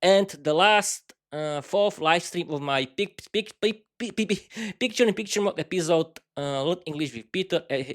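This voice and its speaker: Halting Slovak-accented man rambling. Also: sits high, fairly bright tone. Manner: halting, frequent restarts and fillers. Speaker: podcast host. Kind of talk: unscripted solo monologue.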